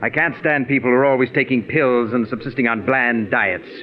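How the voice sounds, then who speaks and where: An actor playing a pompous, egotistical radio producer with precise, condescending diction, in the style of 1940s radio drama. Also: male voice, medium pitch, a little fast, natural voice. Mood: self-important. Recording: muffled, narrow-band 1940s radio recording.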